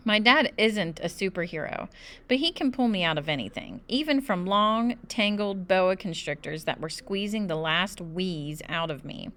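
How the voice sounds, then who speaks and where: Animated woman reading a children's book. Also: fairly low pitch, moderate pace. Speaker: storybook reader. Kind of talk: expressive children's book narration.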